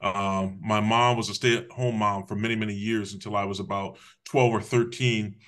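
A middle-aged man from Connecticut answering interview questions on a video call, measured and thoughtful. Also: fairly low pitch, steady tone, a bit bright. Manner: measured, thoughtful, some restarts. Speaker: guest, public safety official. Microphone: video call webcam.

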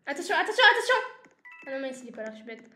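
Excitable woman with a head cold. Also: high-pitched, very fast. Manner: excitable, yelps and laughs often. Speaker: gaming youtuber. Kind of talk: casual gameplay commentary. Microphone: no proper mic, built-in device mic. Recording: echoey room, game music behind.

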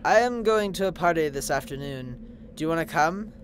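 A performer voicing a slow, simple, literal-minded young female pegasus pony in a parody cartoon, speaking in a stiff, formal deadpan. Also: medium pitch, a little hiss or hum, lightly acted. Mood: placid, deadpan.

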